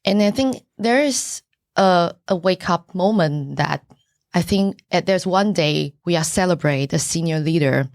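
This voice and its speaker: A middle-aged woman from Taiwan speaks English with a Taiwanese accent, Mandarin being her first language. Her voice sits low and the room is dry. She talks earnestly, with pauses and searching for words. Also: lively intonation, darkish tone, dry recording. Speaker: buyer's agent, podcast guest. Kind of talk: casual interview answers.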